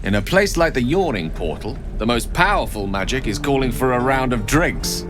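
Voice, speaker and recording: An actor playing a courteous, genial adventurer in a video game, polite and eloquent. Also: male voice, medium pitch, expressive, normal speed, lightly acted. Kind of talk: video game character acting. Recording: music playing behind.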